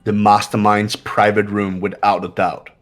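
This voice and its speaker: Guy doing a cool know-it-all student detective from a game, lowish voice, deliberate, bit of music behind. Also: level tone, close to an everyday voice. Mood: cool, certain. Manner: deliberate, certain, formal. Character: cool, analytical, authoritative young male student sleuth. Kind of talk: amateur voice acting of a video game.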